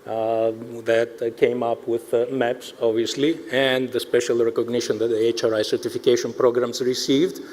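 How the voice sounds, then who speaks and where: Halting man making a formal thank-you speech. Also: mid-range voice, little pitch movement, darkish tone. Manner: halting, hesitant delivery full of pauses. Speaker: industry association representative giving thanks. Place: indoor awards event, addressing an audience.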